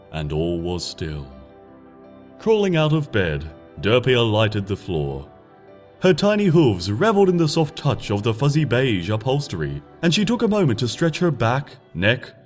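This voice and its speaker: A man reading a fan-written story aloud in a gentle, lilting storybook manner. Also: mid-range voice. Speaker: narrator. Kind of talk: fanfiction audiobook narration. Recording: soft music bed behind.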